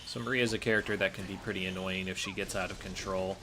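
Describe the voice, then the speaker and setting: A man's voice: deep voice, fairly even intonation, fast, noisy background. A man giving casual, analytical gameplay commentary as a speedrunner, explaining his choices.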